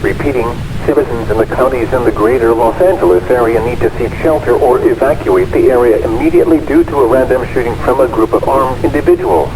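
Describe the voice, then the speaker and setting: Guy reading out an emergency alert, mid-pitched voice, scripted. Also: fast, long pauses, rough recording.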